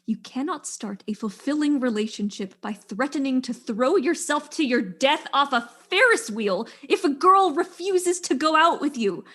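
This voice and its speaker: A woman plays an indignant widow with a high-pitched voice that swings widely. She is scornful and emphatic, throwing her lines out dramatically in a comic murder mystery. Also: animated, normal speed, hardly any pauses, fairly bright tone. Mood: scornful ranting.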